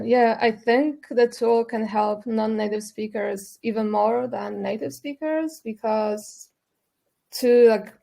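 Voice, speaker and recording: A woman whose first language is Polish speaks on a panel, thinking aloud with many fillers. Her voice is gravelly and dark, pitched a bit high for a woman. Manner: thoughtful, loose with fillers. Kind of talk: panel discussion.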